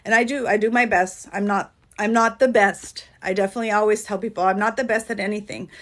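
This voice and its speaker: A woman's voice: medium pitch, fairly even intonation, quick pace. A California-born woman chatting on a livestream as a dance choreographer and coach, rambling and earnest, with lots of "um" and "like". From California, USA. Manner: rambling, earnest, heavy on fillers like "um" and "like". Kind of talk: casual livestream chat. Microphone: phone livestream.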